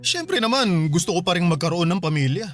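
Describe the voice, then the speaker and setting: A fairly high male voice with a Filipino accent, an actor playing a good-humoured bachelor in a radio drama. He trades wry, joking retorts with a friend over faint background music. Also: sing-song, fairly quick. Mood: mildly protesting. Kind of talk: acted radio drama dialogue in casual banter.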